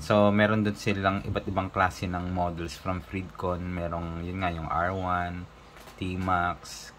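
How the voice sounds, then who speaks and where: A Filipino man hosting a casual unboxing vlog, mixing Tagalog and English in a halting, hesitant way. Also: sits low, fairly bright tone, a little hiss or hum. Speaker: motovlogger host.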